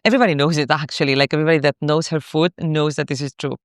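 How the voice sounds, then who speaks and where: A woman's voice: low-pitched, fairly even intonation, rapid-fire, no room sound, studio quality. A woman in her thirties from Morocco, a coach candidly telling her own story in a masterclass, repeating herself with many fillers. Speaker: spiritual coach.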